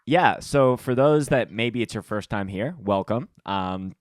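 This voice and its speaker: A man's voice: on the low side, lively intonation, measured pace, dry recording. A man co-hosting a podcast in a casual, informal and chatty way. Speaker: podcast co-host.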